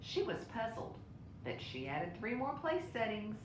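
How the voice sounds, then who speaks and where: A woman reading a children's picture book aloud in an expressive way. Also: measured pace. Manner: expressive, with brief asides to listeners. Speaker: storybook reader. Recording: roomy echo, clear low rumbling noise.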